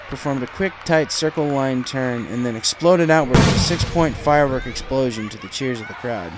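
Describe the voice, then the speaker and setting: A man's voice: mid-range voice, noisy background. A man reading a story aloud as scripted audiobook narration. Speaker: audiobook reader of fan fiction.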